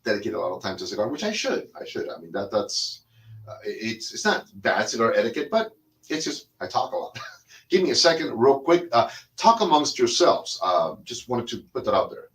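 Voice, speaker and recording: A man's voice: medium pitch, sing-song, a little fast, low-quality recording. A middle-aged man from Cuba whose first language is Spanish, casually hosting a cigar talk and rambling with lots of fillers and restarts while he smokes. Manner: smoking a cigar while talking. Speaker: cigar podcast host. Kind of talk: casual monologue.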